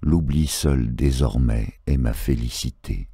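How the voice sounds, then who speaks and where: A man reciting poetry in a solemn literary style, measured and phrase by phrase, with pauses. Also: rumbling low, vocal fry, warm tone, husky, no room sound, studio quality. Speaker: poetry reader.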